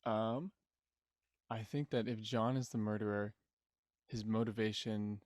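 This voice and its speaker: A man's voice: lowish voice, steady tone, a little slow, darkish tone, no echo, clean studio sound. A man who works as a voice actor, chatting casually and teasing in a joking way. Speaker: voice actor guest. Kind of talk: casual chat.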